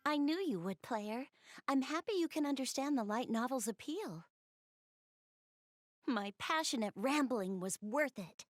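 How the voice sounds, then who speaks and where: A woman's voice: sits high, animated, measured pace, dry recording, soft background music. A woman voicing a shy, bookish young goddess girl in a video game dub, speaking politely and earnestly with bashful hesitation. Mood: enthusiastic, earnest. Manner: hesitant, bashful, polite, rambling about hobbies. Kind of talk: English video game dub, visual novel dialogue.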